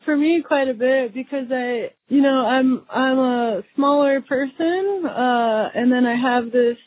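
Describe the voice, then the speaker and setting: A woman guest in a casual interview, talking in a soft voice with many fillers and restarts. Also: high voice, measured pace, no room sound. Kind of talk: conversational interview. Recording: tinny phone-like line.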